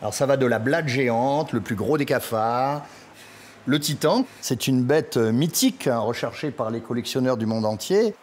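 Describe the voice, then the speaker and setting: A man's voice: mid-range voice, expressive, moderate pace. A man speaking spontaneously in a documentary interview as an insect collector, explaining things point by point. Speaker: insect collector and exhibition guide. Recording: clear background noise, natural ambience.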